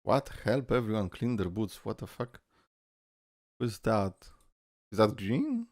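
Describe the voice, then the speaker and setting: A young man with a low, gravelly voice gives loose, joking reaction commentary, swearing and laughing as he goes. He is recorded close on a dry mic, with faint music playing underneath. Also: huge pitch swings, a little slow, a little mellow, no echo. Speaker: reaction video host.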